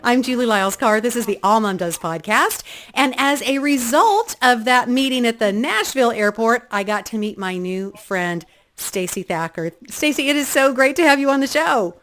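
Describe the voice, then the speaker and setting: A woman's voice: on the high side, lively intonation, normal speed, fairly bright tone, a little hiss or hum. A middle-aged woman hosting a faith podcast, talking in a chatty, easygoing way and laughing often. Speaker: podcast host. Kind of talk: casual interview chat.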